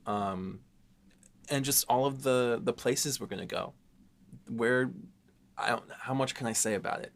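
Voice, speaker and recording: A man co-hosting a casual panel chat, thinking aloud in a measured way. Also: medium pitch, creaky. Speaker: podcast co-host and series writer.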